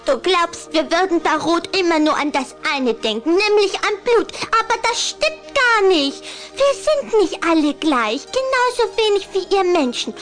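A woman's voice: very high-pitched, very animated, moderate pace, hardly any pauses, fairly bright tone, soft background music, heavily acted. A woman playing a lovestruck young vampire girl in a German children's audio drama, coquettish, proud and emphatic. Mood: defiant, earnest. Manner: girlish, coquettish, emphatic delivery.

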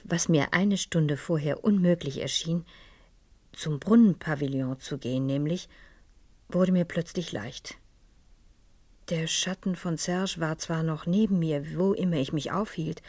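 A melancholy, probing lady in a radio crime play. Her voice is low and recorded dry and close. She speaks with formal composure and deliberate, reflective phrasing. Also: darkish tone, dry recording. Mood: reflective, sad. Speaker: actress and narrator in a radio crime drama. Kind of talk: German radio play acting with reflective narration.

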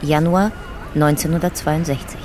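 An actress playing a detached narrator who reads out photo captions in a radio play. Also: female voice, sits low, fairly even intonation, normal speed, noisy background, lightly acted. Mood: factual.

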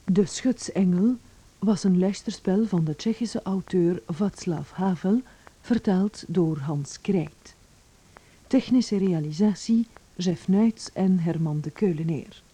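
A woman announcer reading closing credits in a measured, formal way. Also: lowish voice, dark tone, husky.

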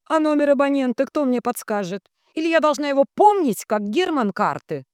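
A woman voicing a hysterical, heavy female psychic medium who wails, bellows and grumbles in drawn-out anguished calls. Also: high-pitched, expressive, dry recording, voice pushed up. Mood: strained, insistent.

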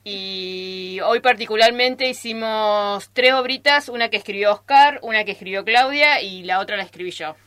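Bright-voiced Argentine woman, dry close sound. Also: medium pitch, moderate pace, few pauses, dry recording. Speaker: amateur radio theatre cast member. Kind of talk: casual group interview chat. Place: radio studio.

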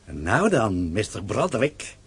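An actor playing a sly, defiant poor peasant father in a radio drama, raspy, mocking and resentful. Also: fairly low pitch, very animated, takes it slowly, full character voice. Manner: mocking, resentful, feigning innocence.

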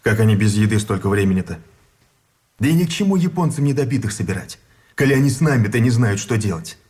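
An actor in a Russian radio drama playing a plain-spoken, grumbling captured soldier with a blunt, folksy manner. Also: male voice, lowish voice, expressive, quick pace, a little mellow, lightly acted. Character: plain-spoken, grumbling rank-and-file soldier held prisoner.

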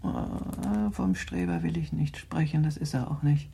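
An actress playing a shrewd, worried middle-aged aristocratic mother in a radio play, talking in a measured, confiding way that sometimes sinks to a whisper. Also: deep voice, vocal fry, warm tone, slight background noise, full character voice. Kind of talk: 1960s German radio drama acting.

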